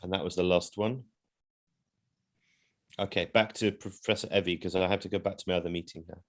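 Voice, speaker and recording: Man, low voice, hesitant and apologetic webinar remarks via video call. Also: fairly even intonation, brisk. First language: not Indonesian. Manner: hesitant, apologetic, many fillers and self-conscious laughs. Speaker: co-founder presenting certificates.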